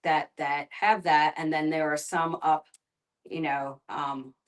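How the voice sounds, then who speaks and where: Woman, low voice, casual group discussion, hesitant, thinking aloud, facilitator. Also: steady tone, slow pace.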